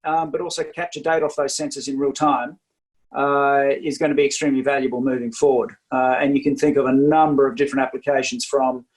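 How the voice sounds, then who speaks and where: A man's voice: medium pitch, little pitch movement, fast, low-quality recording. An Australian man with an Australian accent, a podcast guest explaining his company's technology, filling gaps with many ums. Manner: hesitant, many ums and uhs. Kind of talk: casual interview.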